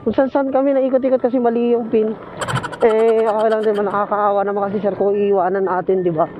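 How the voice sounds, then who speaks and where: A man's voice: high voice, flat delivery, fairly quick. A Filipino man chatting casually and teasingly as a motorcycle taxi rider vlogging on the road. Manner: playful, teasing. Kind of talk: casual joking chat. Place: outdoors, riding a motorcycle. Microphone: helmet intercom headset. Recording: dull helmet intercom, loud road noise. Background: vehicle and road noise.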